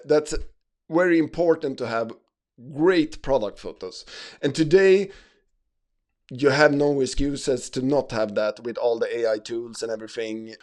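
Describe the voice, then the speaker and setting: A man's voice: medium pitch, a little mellow. A man, not an English speaker, hosting an ecommerce tutorial with many fillers and restarts. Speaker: ecommerce channel host. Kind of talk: screen-share tutorial.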